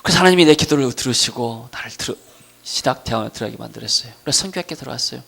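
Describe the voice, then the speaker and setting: A middle-aged man with a Jeolla accent from Gwangju preaches a sermon with personal testimony, swinging between emphatic declarations and quieter storytelling. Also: medium pitch, animated, racing, vocal fry, breathy edge. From Gwangju region, South Korea. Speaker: pastor preaching.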